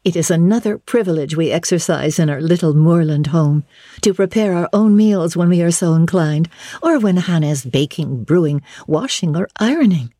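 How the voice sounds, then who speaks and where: A woman voicing a kindly but authoritative young Englishwoman in a classic novel reading, brisk, genteel and commanding. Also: lowish voice, expressive, warm tone, no room sound, clean studio sound.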